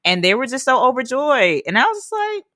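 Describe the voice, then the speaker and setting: A woman's voice: high voice, big pitch swings, brisk, bright, forceful tone, no room sound, studio quality. A woman in her forties hosting an interview, enthusiastic and affirming as she talks. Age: 40s. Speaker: podcast host. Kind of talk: casual interview conversation.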